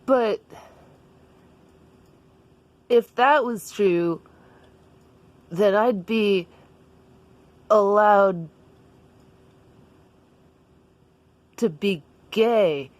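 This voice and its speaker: A woman's voice: mid-range voice, very slow, long pauses, no echo, pitched above the performer's own voice. A woman voicing a timid, earnest schoolboy in a comic story, hesitant and wide-eyed. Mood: incredulous, anxious. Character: timid, earnest, church-bound boy, school age.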